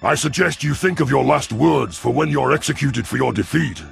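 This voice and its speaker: Sci-fi audio story: arrogant young reptile hunter performed by a man, thick vocal gravel, sneering, faint music bed. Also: mid-range voice, expressive, normal speed, voice pushed up. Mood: scornful, challenging. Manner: boastful, sneering. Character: young alien reptilian chief hunter, arrogant, taunting brute.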